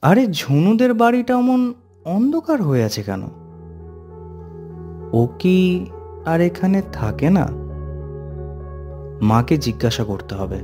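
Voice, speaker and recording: Man doing a young cartoon villager, lively pitch, slightly high, eager to hushed, soft music behind. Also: takes it slowly, pauses often, heavily acted. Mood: musing. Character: young man Ajit, returning home, anxious. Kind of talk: cartoon voice acting and narration.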